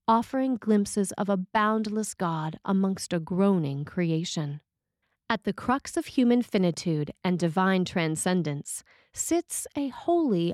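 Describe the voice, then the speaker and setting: A woman priest reading a scripted sermon in a measured, formal way. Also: mid-range voice, darkish tone, dry recording, clean studio sound.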